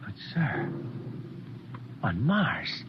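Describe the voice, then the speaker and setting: A man's voice: lowish voice, wildly swooping pitch, very slow, heavily acted. An actor playing a deferential male lieutenant on a rocket crew in 1950s radio drama. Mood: uneasy. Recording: muffled, noisy 1950s radio recording.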